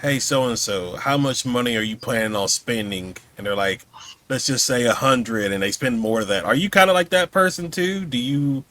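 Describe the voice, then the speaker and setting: A man's voice: medium pitch, moderate pace, sharp tone. A man hosting a podcast, asking questions in a casual, conversational interview style. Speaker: podcast host.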